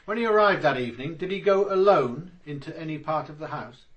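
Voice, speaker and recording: A male actor as a businesslike detective in a radio play. His voice sits a little high as he questions with open scepticism. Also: lively intonation, a little fast, lo-fi sound, close to an everyday voice. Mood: probing. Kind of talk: radio drama acting.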